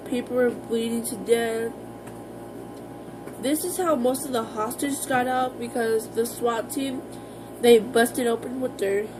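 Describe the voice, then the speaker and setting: An American woman talking casually to her viewers in an informal, halting, rambling vlog style. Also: fairly high pitch, rough recording. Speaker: vlogger.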